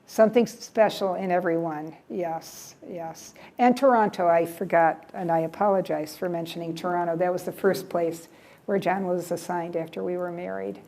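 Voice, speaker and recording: A woman's voice: fairly low pitch, fairly even intonation, moderate pace, warm tone. An older American woman giving a casual travel talk to a library audience, chatty, repeating words and trailing off with "yeah". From United States. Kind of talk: casual presentation with audience questions. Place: library event room.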